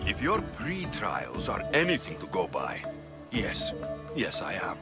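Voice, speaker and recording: An actor playing a weary, blunt mercenary in a video game, with a dry manner. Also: male voice, lowish voice, wildly swooping pitch, unhurried, music in the background. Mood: dry, firm. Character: weary, blunt male Greek mercenary warrior. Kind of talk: video game cutscene acting.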